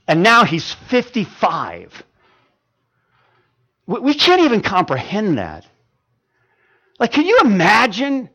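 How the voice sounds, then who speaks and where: A man in his fifties preaching a sermon, swinging from loud emphatic stretches to quiet ones, with frequent stammered restarts. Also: sits high. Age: 50s. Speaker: pastor.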